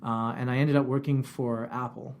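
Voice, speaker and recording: A man from England with a Canadian accent, a guest talking about his life in a relaxed interview with many fillers. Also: mid-range voice, moderate pace. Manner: reflective, many fillers and restarts. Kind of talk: casual interview. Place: office.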